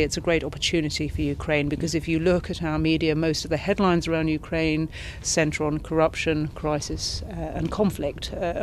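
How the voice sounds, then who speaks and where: A middle-aged British woman answering interview questions as an ambassador, in a measured, articulate way, seated indoors with a handheld mic. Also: sits low, a little hiss or hum. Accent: British English. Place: indoor reception room, seated on a sofa. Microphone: handheld interview mic held by interviewer.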